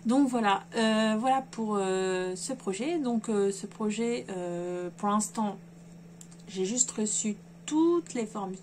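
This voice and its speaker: A woman talks casually at a middle pitch, rambling along and stopping often to restart her sentences with fillers. Clear background noise runs under her home recording. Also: slow pace, darkish tone. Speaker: sewing vlogger. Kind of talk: casual chat to camera.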